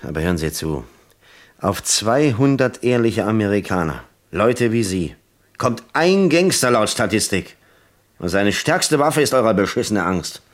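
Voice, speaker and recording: A man acting an earnest, world-weary police lieutenant in a German crime radio play, deliberate and probing. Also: fairly low pitch, very animated. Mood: resigned, earnest.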